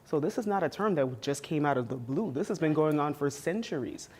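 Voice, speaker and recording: Deep-voiced emphatic woman. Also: animated, a little fast, a little mellow, husky. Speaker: guest panelist. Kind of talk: casual panel conversation.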